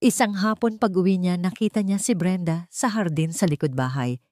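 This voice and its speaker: A woman's voice: fairly low pitch, moderate pace, a little mellow, no echo, studio quality. A woman narrating a scripted dramatic audio story.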